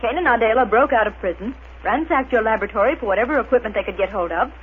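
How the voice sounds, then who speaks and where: An actress playing an alert, quick-witted space adventuress, urgent and theatrical, in a 1930s radio serial. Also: female voice, fairly high pitch, phone-quality sound, lightly acted. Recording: thin, noisy old 1930s radio.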